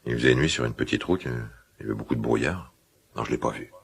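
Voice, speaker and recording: A guilt-ridden middle-aged father in a naturalistic radio drama. His voice is extremely deep, full of creak and very husky. He confides haltingly, with an awkward tenderness. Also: exaggerated voice. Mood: haunted, confessing. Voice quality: gravelly, husky smoker's voice.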